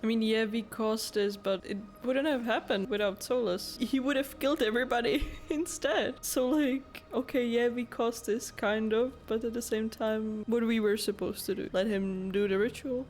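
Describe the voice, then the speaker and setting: A woman's voice: on the high side, moderate pace, hardly any pauses, a little mellow, faint music behind. A woman giving casual, exclamatory reaction commentary while playing a video game, speaking informally in short asides. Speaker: gamer reacting to game cutscenes.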